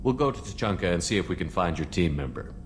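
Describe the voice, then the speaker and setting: An actor playing a composed, businesslike human commander in a video game, direct and questioning. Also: male voice, low-pitched, animated, fast talker. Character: steady, businesslike human male military commander. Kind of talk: video game character acting. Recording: long reverb, clear background noise.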